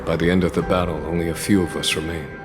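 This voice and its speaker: An actor playing a long-lived veteran general in a video game, calm, grave and courteous, speaking in measured, formal phrases. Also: male voice, low-pitched, close to an everyday voice. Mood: grave, reflective. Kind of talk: scripted game dialogue, solemn recounting. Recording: long reverb, game music underneath.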